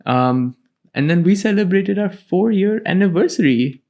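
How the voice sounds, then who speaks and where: A man's voice: high voice, animated, moderate pace, warm tone. A young man co-hosting a casual podcast with his partner, talking in loose, restarting sentences and teasing her. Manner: rambling, restarts phrases, teasing. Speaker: podcast co-host. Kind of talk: casual chat.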